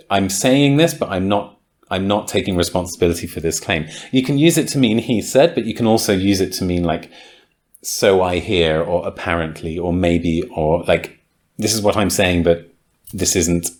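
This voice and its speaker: A man with a slightly low voice talks through grammar for a podcast. He thinks aloud, with frequent ums and likes, and sounds keen when an idea clicks. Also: a little mellow. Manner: thoughtful, enthusiastic, many ums and likes. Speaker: podcast host and English teacher. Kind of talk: casual explanatory podcast talk.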